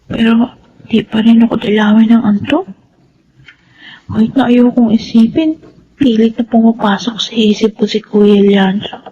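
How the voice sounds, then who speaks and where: A woman's voice: a little high, steady tone, moderate pace, pauses often, mellow, lightly acted. An actress playing an earnest, hesitant young office woman in a radio drama, with a Filipino accent. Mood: troubled longing. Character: young office woman torn over attraction to brother-in-law. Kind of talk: Tagalog radio drama acting with narration. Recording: dull lo-fi audio with faint noise.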